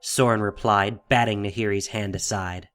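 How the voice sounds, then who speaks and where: A man's voice: fairly low pitch, fairly even intonation, moderate pace, a bit bright, faint music behind. A man narrating a fantasy audio drama from a script in a dramatic storytelling style.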